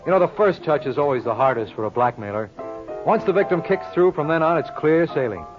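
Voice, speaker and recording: An actor playing a brash, cocky lawyer sleuth in a 1950s radio drama, glib and sardonic, needling everyone. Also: male voice, on the high side, expressive, fairly quick, natural voice. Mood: smug, explaining. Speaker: lead actor of the series. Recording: muffled old radio recording, faint music.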